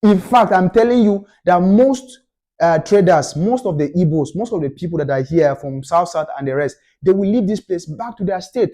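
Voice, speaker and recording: A young man with a Nigerian accent argues his case with fervor, hammering each point home through repetition. His voice sits a little high, yet the tone stays mellow and warm. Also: animated, moderate pace. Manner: passionate, emphatic, repeats points for stress. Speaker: commentator. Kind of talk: opinion rant to camera.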